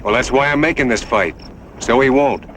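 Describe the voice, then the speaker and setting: An actor playing a stubborn cattle rancher in a Western drama, terse and defiant, with an American Western accent. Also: male voice, mid-range voice, normal speed, background noise, natural voice. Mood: resolute.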